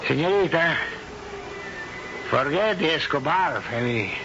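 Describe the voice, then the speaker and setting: An actor playing a proud, grieving middle-aged Spanish aristocrat, grave and formal in a 1970s radio drama. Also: male voice, fairly high pitch, sing-song, unhurried, bright, forceful tone, a little hiss or hum, exaggerated voice. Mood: sorrowful, dignified. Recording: muffled 1970s radio broadcast with music.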